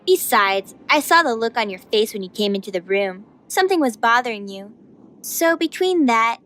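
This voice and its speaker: Woman voicing a cocky pushy young goddess girl, audio drama, slightly high voice, severe, faint music behind. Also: animated, moderate pace, fairly bright tone. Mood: persuasive, insistent. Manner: severe, confident, pushy and foul-mouthed. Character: brash, domineering young masked woman, a feathered goddess.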